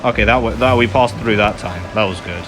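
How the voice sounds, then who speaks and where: A man's voice: fairly low pitch, a little fast. A man giving casual, self-deprecating and apologetic commentary while playing a video game. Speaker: gamer narrating a playthrough. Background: loud video game music.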